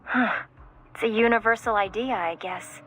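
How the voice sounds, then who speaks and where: A skeptical, easygoing female colleague, played by a woman, chats in a video game. Her voice is bright and fairly high. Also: normal speed, close to an everyday voice. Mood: thoughtful.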